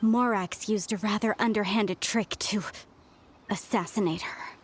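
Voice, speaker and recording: A woman's voice: medium pitch, fairly even intonation, measured pace, background noise, close to an everyday voice. An actress playing an earnest young woman researching history in a video game, speaking politely.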